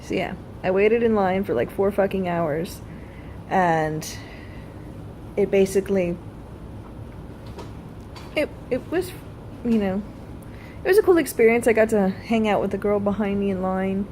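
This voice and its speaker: A woman's voice: mid-range voice, measured pace, pauses often, mellow, a little hiss or hum. A woman telling a personal story in a casual vlog style, blunt and freely swearing. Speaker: vlogger.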